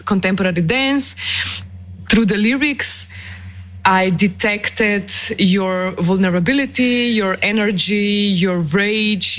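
A woman interviewing on radio in English, pausing between phrases as she puts her questions. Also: mid-range voice.

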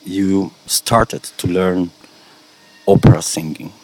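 A man interviewing a guest for a radio station, speaking English as a second language after an intro in his native Slovenian, asking his questions haltingly. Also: low-pitched, poor audio.

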